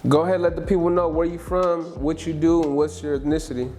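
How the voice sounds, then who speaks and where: A man hosting a casual interview podcast, talking in relaxed slang and often agreeing with the guest. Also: fairly high pitch, faint music behind. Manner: relaxed, slangy, quick back-channel agreement. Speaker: podcast host.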